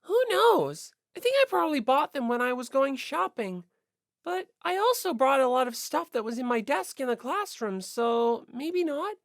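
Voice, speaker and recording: Audiobook role: cheerful, breezy teenage girl athlete, pitched high and animated played by a woman. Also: normal speed, gravelly, no echo, clean studio sound, exaggerated voice. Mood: bright, carefree. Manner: exclamatory, breezy. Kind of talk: audiobook character voice.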